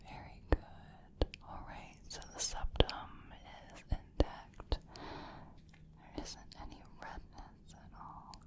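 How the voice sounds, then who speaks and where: A woman voicing a gentle, attentive clinician in a soft-spoken ASMR medical roleplay, breathy and often whispering, with a reassuring manner. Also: slow, lightly acted. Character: gentle, attentive female clinician giving a check-up.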